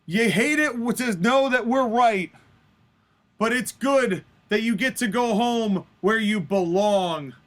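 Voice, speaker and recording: A man's voice: extremely high pitch, slow, fairly bright tone, exaggerated voice. A man playing a gloating, contemptuous wrestling villain in a told story, with a taunting delivery.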